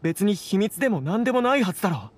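A man's voice: high-pitched, sing-song, quick pace. A man playing an earnest, troubled young scholar with polite speech in a Japanese game. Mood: indignant. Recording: clear background noise, insect ambience.

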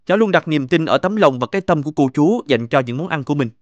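Man as an earnest, higher-pitched young businessman. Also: lively intonation, fast, a little mellow, no room sound, lo-fi sound, natural voice. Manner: polite, sincere.

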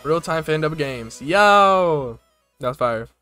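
A man's voice: a little high, animated, takes it slowly, sharp tone, faint music behind. A young man giving casual reaction commentary as a video host, close on a desktop microphone. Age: young adult. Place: small room, desk setup.

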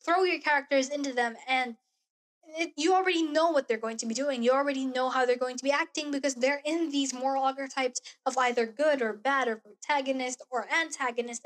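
A young woman vlogging in a small bedroom into a close studio mic, talking earnestly about a show with a hoarse voice. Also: high voice. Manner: gushing, earnest, gestures while talking. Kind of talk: casual review vlog. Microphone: studio mic on boom arm, close.

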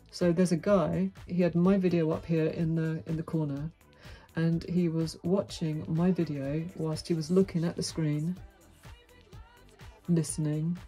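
Deep-voiced, husky middle-aged Englishwoman. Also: dark tone. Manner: outspoken, frank, wry. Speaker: vlogger. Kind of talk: candid monologue to camera. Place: small dim indoor room with brick wall.

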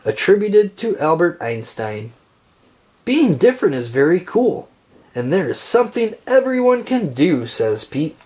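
Higher-pitched man reading aloud with lively intonation. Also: measured pace. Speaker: children's book reader. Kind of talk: read-aloud of a children's picture book.

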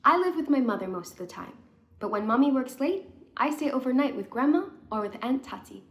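A woman's voice: high voice, lively intonation, fairly quick, muffled recording. A young woman reading the part of a friendly little girl in a children's storybook, eager and simple in her explaining.

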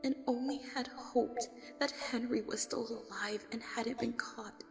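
Woman acting a haunted, creaky, half-whispering horror survivor. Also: fairly high pitch, fairly even intonation, unhurried, faint music behind. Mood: haunted, regretful. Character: haunted, grieving survivor of a horror ordeal, recounting it. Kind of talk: dramatic horror audio drama narration.

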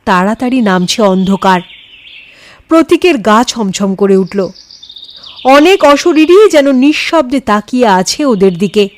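A woman narrating a scripted story in a dramatic, expressive style, acting out the dialogue. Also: on the high side, a little slow.

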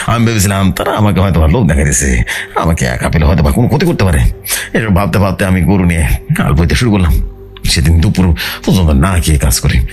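A man's voice: deep voice, darkish tone. A man narrating a horror story in the first person, in a dramatic storytelling style, as the narrator of an audio story.

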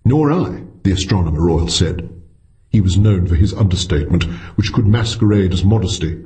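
A man's voice: low-pitched, brisk, warm tone. A man reading a scripted short story as an audiobook narrator.

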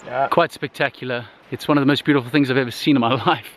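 A man in his thirties, vlogging with excitement while talking to a handheld camera in the jungle and inside a huge cave. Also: medium pitch, fast, crowd noise. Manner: enthusiastic, excited, talks straight to handheld camera. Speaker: travel vlogger. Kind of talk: casual travel vlog. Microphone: handheld camera at arm's length.

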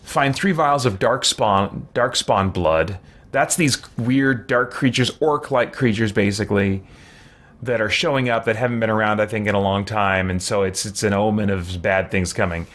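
A man's voice: lowish voice, normal speed, a bit bright. A man in his forties chatting casually as he hosts a game livestream, rambling and self-deprecating. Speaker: streamer, host of a let's play. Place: small room at home.

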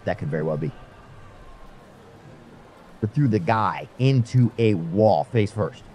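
A man's voice: on the low side, expressive, unhurried, mellow, slight background noise. A man playing a menacing, folksy drifter in a sci-fi audio drama, talking in a taunting way.

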